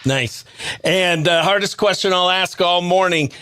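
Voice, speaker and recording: A man with a notably high, bright voice hosts a livestreamed radio show. He talks in a breezy, exclamatory way, moving between casual chatter and excitable shout-outs to his audience. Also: a little slow, clean tone. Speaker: radio host.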